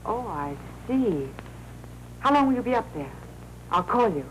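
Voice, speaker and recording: A woman's voice: mid-range voice, big pitch swings, measured pace. A wisecracking young American career woman from a forties crime film. She talks in a breezy film noir style, with light noise from the old soundtrack. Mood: brisk, alert. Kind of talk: 1940s film noir acting. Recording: noisy 1940s film soundtrack.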